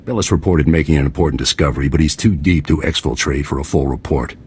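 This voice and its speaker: A man playing a composed military commander in a video game, measured, formal and authoritative. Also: extremely low pitch, a little mellow, a little hiss or hum. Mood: businesslike.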